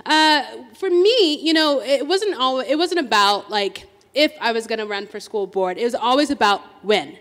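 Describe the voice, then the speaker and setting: A woman running for school board gives her opening statement on a panel, pausing often with fillers and restarts. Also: on the high side, expressive, a bit bright. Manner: halting, many fillers and restarts. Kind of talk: candidate forum statement. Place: large hall, seated on stage panel. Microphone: handheld microphone. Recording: long hall reverb.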